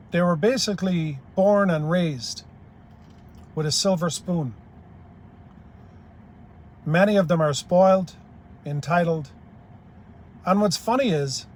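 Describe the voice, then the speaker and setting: A man's voice: high voice, darkish tone. Blunt older Irish-born man. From Ireland. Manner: blunt, plain-spoken, rambling. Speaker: YouTube commentator. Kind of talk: opinionated monologue.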